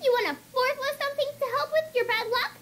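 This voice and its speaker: Squeaky, excitable young cartoon pony girl. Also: fast talker. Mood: eager. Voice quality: squeaky, girlish. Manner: bubbly, blurting. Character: excitable young female pony, cute, giggly, easily saddened. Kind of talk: fan fiction audio drama. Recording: background music under the voice.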